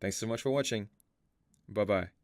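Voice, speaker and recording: A man narrating a scripted story explainer in a measured, musing way, posing rhetorical questions. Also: lowish voice, creaky, a little mellow, dry recording, studio quality. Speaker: video essay narrator. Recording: music under the voice. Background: background music.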